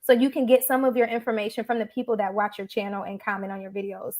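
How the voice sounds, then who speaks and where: A woman's voice: medium pitch, flat delivery, quick pace, darkish tone. A woman hosting a live online talk show, chatty and appreciative, with frequent fillers. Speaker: host. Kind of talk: casual livestream chat.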